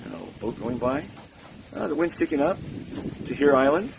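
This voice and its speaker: A man talking casually and enthusiastically to his camera outdoors, his voice going hoarse from overuse. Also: mid-range voice, very animated, fairly quick, noisy background. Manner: upbeat, enthusiastic. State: losing his voice. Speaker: vlogger. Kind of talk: casual vlog chat. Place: outdoors at a resort, windy.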